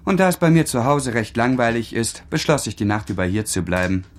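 An actor in a German radio drama playing a charming, arrogant young aristocratic student, languid, teasing and condescending. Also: male voice, mid-range voice, sing-song, fairly quick, natural voice. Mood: teasing, bored. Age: young adult.

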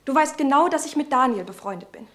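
Brief: higher female voice, an emotional, pleading young woman. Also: lively intonation, quick pace. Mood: upset. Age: young adult. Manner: emotional, pleading, often hushed. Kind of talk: radio play acting.

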